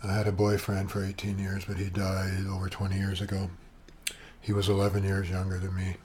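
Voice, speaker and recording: A soft-voiced man in his seventies from Canada talks on and on in a low, fairly flat voice, full of asides and fillers. He is recorded at home on a webcam with some external sound gear. A faint hiss sits behind him. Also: fairly quick. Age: 70s. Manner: chatty, eccentric, wandering with asides and fillers. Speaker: vlogger. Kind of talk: rambling personal monologue. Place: at home, sitting at his computer.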